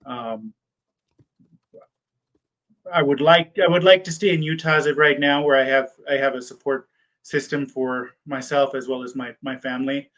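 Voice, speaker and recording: A man in his thirties answers mock interview questions as a PA school applicant, with German as his first language. He talks at a middling pitch. He hesitates a lot, repeating words and filling pauses with um. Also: fairly even intonation, fairly bright tone, low-quality recording. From born in Germany, raised in the United States.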